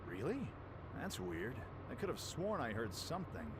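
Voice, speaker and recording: A man's voice: medium pitch, very animated, normal speed, noisy background. A man playing a blunt, hot-headed young leader in a radio drama, given to exclamations. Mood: puzzled, annoyed. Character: brash, hot-headed young male leader, teasing and protective. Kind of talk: fan radio drama acting.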